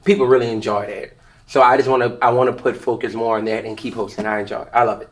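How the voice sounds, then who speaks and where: Man, speech impediment, loud, fast-talking, expressive, reunion cast. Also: medium pitch. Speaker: cast member and event host. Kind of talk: casual reunion talk.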